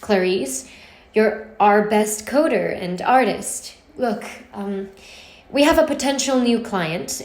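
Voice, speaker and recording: A woman voicing a kind but pressured female office boss in a story, earnest and a little hesitant. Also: fairly high pitch. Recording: roomy, echoing space.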